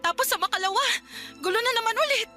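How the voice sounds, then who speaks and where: A woman's voice: very high voice, expressive, fast talker, pushed and bright, soft background music, full character voice. A woman acting a frustrated young woman in an emotional radio drama argument with her boyfriend. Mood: arguing, bitter. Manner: emotional, pleading and accusing.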